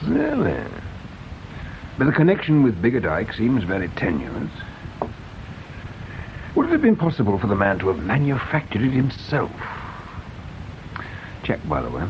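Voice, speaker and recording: An actor playing a courteous English police inspector in a radio drama, asking measured, sceptical questions in an English accent. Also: male voice, medium pitch, huge pitch swings. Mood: sceptical, polite. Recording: dull old radio recording, faint noise.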